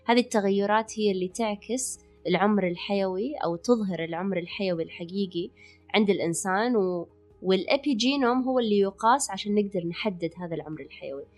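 Woman, Hijazi Saudi accent, rapid speech, conversational health podcast, explanatory, English words mixed in. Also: medium pitch, darkish tone, soft background music. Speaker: podcast co-host, holistic physician and wellness coach.

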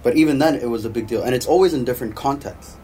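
A man's voice: medium pitch, racing, warm tone, low-quality recording. A man co-hosting a podcast, explaining points and often checking in with "right?". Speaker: podcast co-host. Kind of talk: casual chat. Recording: faint background noise.

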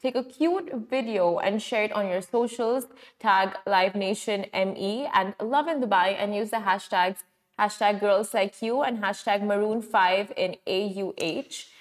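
A woman's voice: medium pitch, unhurried. A young woman co-hosting a live show in an upbeat, bubbly manner. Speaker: radio show co-host.

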